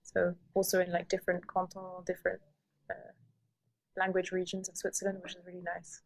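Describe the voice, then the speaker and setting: A woman in her thirties from Sweden speaks in a calm, low voice with little rise and fall. She pauses often with fillers as she explains. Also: creaky, low-quality recording. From Sweden, raised in several countries. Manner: calm, hesitant, many fillers. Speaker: architect, guest. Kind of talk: podcast interview answers.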